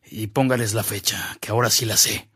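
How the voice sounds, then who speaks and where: An actor playing an imperious, threatening state governor who persecutes priests in a radio drama. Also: male voice, lowish voice, fairly even intonation, brisk, a bit bright, breathy edge, dry recording, studio quality. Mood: brooding, commanding.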